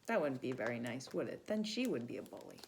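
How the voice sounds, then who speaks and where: A woman reading a children's story aloud with animated narration and small asides to young listeners. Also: a bass voice, fast talker, vocal fry, slight background noise. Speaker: volunteer storybook reader.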